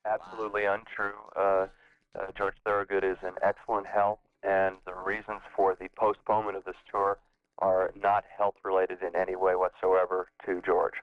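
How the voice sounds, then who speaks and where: A man speaks formally and a little haltingly as a spokesman. His voice is low and very even, and the dry clip sounds dull and band-limited. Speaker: publicist giving a statement. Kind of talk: formal prepared statement with hesitations.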